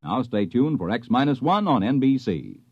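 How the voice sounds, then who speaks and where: A man's voice: mid-range voice, big pitch swings, normal speed, muffled recording. A man with an American accent announcing a 1950s radio show in a scripted, dramatic, declamatory style. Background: dramatic music.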